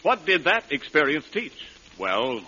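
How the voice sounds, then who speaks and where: A man's voice: on the high side, big pitch swings, measured pace. A male announcer delivering scripted advertising narration in a polished, emphatic 1940s radio style. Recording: dull, slightly noisy old radio recording.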